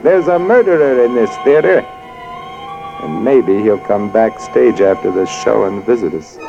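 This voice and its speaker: A man's voice: on the low side, lively intonation, unhurried, vocal fry. An actor playing a haunted, evasive man narrating in terse, hard-boiled 1940s radio noir style. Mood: brooding. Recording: muffled old radio recording with music.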